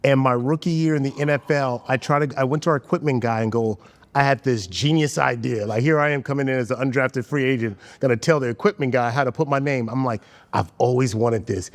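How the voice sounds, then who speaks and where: A man's voice: mid-range voice, quick pace, big-room sound. A man co-hosting a casual talk show, telling stories animatedly and acting out what other people said. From United States. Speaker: podcast co-host. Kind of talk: casual chat.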